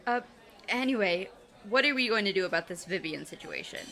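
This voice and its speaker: A woman's voice: mid-range voice, lively intonation, a little fast, fairly bright tone. A woman playing a sensible, dryly sarcastic teenage girl, the reluctantly loyal friend in an audio drama. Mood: resigned, teasing.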